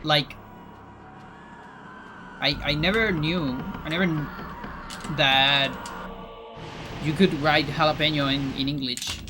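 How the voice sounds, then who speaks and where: A man's voice: a little high, steady tone, slow pace, pauses often, over music. A man from Chile, a native Spanish speaker with a Chilean Spanish accent, chatting casually with viewers while gaming, musing aloud with frequent restarts. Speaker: streamer.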